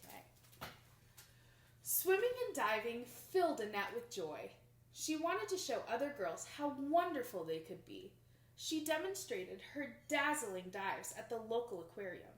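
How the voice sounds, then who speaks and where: Young woman doing a kids book read aloud, highish voice, super expressive, kinda echoey home recording. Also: unhurried. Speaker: storybook reader. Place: small plain room indoors.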